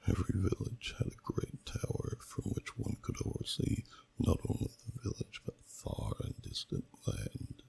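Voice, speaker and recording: Ancient gravelly whispering dragon. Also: male voice, darkish tone, full character voice, rough recording. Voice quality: deep, gravelly, breathy half-whisper. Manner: slow, solemn, deliberate, archaic phrasing. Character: ancient male dragon, grave and wistful storyteller. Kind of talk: ASMR storytelling, scripted narration.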